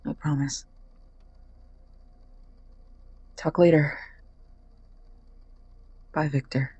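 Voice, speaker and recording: A man's voice: fairly high pitch, fairly even intonation, slow, dark tone, dull audio, slight background noise. A man playing an earnest, hopeful young friend leaving a hesitant answering-machine message in an audio drama. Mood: wistful, sincere. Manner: hesitant, sincere, rambling.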